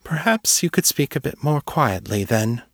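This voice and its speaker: A man voicing a careworn older priestess with a calm, composed, mildly reproving manner. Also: medium pitch, moderate pace, no room sound, studio quality, pitched above the performer's own voice. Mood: calm reproof.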